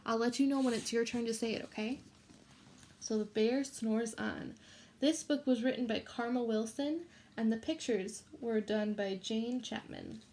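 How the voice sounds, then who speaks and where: A woman reading a picture book aloud to young children in an expressive way, pausing to ask them questions. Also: fairly high pitch, a little hiss or hum. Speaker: children's storybook read-aloud host.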